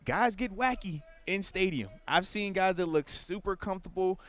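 A man co-hosting a casual sports talk show, breaking down football plays in a relaxed way and dropping "man" often. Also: high voice, normal speed. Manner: relaxed, explains with repeated "man". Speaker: sports show co-host and analyst.

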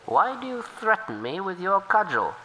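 A man's voice: high-pitched, very animated, moderate pace, pitched above the performer's own voice, full character voice, low-quality recording. A male storyteller voicing a huge, grateful old river turtle who speaks humbly and earnestly. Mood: humble, earnest. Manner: humble, soft-spoken, earnest.

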